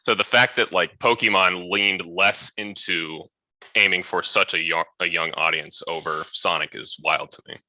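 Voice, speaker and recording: A young man with a low, strikingly sharp, forceful voice. He talks casually and skeptically, giving opinions among friends. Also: steady tone, normal speed, no echo, low-quality recording. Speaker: podcast co-host.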